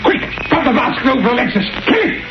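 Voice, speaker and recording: A man's voice: extremely high pitch, big pitch swings, quick pace. A man playing a tough, hot-tempered, defiant criminal sea captain in an old radio drama, barking his lines. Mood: angry alarm. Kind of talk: 1940s radio acting. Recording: muffled, roomy old radio with music.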